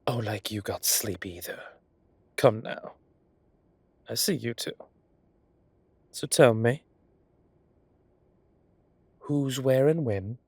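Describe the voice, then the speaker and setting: A man's voice: medium pitch, slow, long pauses, a little mellow, husky, dry recording. A man playing a flamboyant, mischievous male boss in a roleplay audio drama, with an arch, playful, theatrical way of talking. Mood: teasing, nosy.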